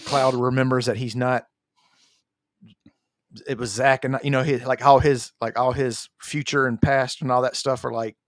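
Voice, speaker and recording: A man's voice: medium pitch, flat delivery, normal speed, dry recording, clean studio sound. A man co-hosting a gaming podcast, talking in a loose, slangy, repetitive way as he chats casually. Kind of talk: casual chat, counting down favorites.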